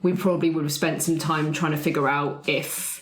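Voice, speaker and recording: Low-pitched woman chatting in echoey room. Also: fairly even intonation, fairly quick. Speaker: player in roleplay game. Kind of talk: casual improvised planning chat. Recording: roomy echo.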